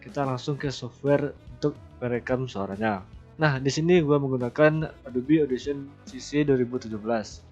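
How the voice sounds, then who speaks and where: A man hosting a casual software tutorial. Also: medium pitch, normal speed. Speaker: tutorial host. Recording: slightly dull, lo-fi voice recording. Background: background music.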